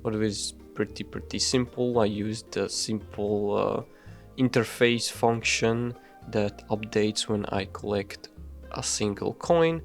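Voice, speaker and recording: Offhand, swearing young game dev. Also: male voice, fairly low pitch, gravelly, soft background music. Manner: loose and offhand, frequent swearing and fillers; self-deprecating, hurried. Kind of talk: casual voice-over devlog narration.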